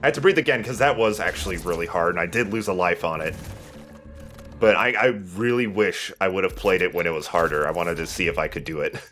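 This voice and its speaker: A man's voice: sits low, quick pace, fairly bright tone. A man giving casual gameplay commentary as the host who is playing. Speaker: let's play host, playing the game. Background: video game music.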